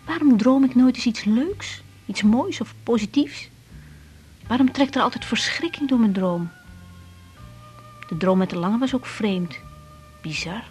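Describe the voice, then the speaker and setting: A woman's voice: a little high, a little slow, long pauses, darkish tone, soft background music, lightly acted. A woman playing a troubled, strong-willed young doctor in a radio drama, introspective and muttering, with a bitter edge. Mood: brooding. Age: young adult. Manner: introspective, muttering to herself, bitter when provoked.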